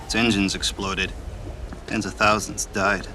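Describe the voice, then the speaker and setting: A calm, analytical man lays things out slowly and deliberately. His pitch sits in the middle range. Loud ambient noise runs under the game scene. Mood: measured. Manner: measured, deliberate explaining. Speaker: game character. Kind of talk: video game cutscene acting.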